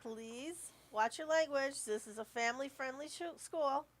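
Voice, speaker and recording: A woman acts out a polite school staffer trying to keep students in line in an amateur audio play. She is fairly high in pitch, patient yet admonishing. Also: a little slow. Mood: exasperated. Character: patient but easily exasperated female school staffer. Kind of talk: amateur live radio play.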